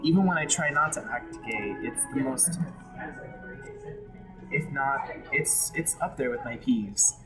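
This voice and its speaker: A man's voice: mid-range voice, a little slow, poor audio. Casual: guy from hawaii spilling his story, blunt and emotional, keeps restarting, big music in the background. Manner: frank, emotional, self-interrupting. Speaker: interviewee. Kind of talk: candid personal story.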